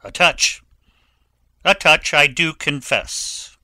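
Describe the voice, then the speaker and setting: A man playing a sharp-voiced, intense young nobleman. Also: on the high side, slow, no echo, heavily acted. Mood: proud, combative. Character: Laertes, proud vengeful young nobleman. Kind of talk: dramatic Shakespeare audiobook reading.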